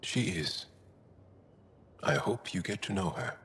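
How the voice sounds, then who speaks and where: An actor playing a gentle, earnest male alien resistance fighter in a video game, speaking in a measured, solemn, heartfelt way with a deep, gravelly voice. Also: lively intonation, in a large hall, exaggerated voice. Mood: tender. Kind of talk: video game cutscene acting.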